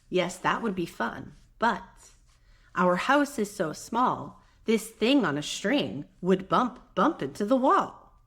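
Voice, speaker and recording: A woman with a soft voice reads a picture book aloud to young children, gentle and expressive, with chatty asides to her listeners. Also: medium pitch. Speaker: children's read-aloud host; mother.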